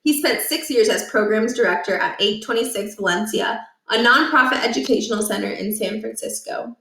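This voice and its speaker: A woman with a fairly high voice narrates a children's book aloud. She reads as a storyteller in a room with a clear echo. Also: a little fast, pushed and bright, recorded from a distance. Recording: echoey, roomy home recording.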